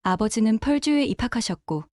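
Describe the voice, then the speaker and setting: A woman reading a translated voice-over in a brisk, even delivery. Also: on the high side. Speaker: interpreter voice-over. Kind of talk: read translation.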